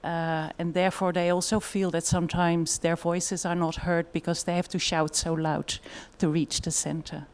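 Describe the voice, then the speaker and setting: A woman's voice: lowish voice, little pitch movement, normal speed, few pauses, darkish tone. A woman with a Dutch accent giving a conference presentation in a thoughtful way, with frequent fillers. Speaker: conference speaker.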